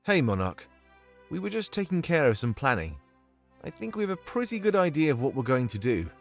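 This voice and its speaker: A man voicing an earnest, confident furry war leader in a visual novel, plain-spoken and steady. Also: mid-range voice, a little fast, faint music behind.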